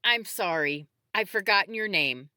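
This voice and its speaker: A woman voicing a capable, practical female medic, brisk and directive yet calm, in an audiobook. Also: medium pitch, no room sound, studio quality.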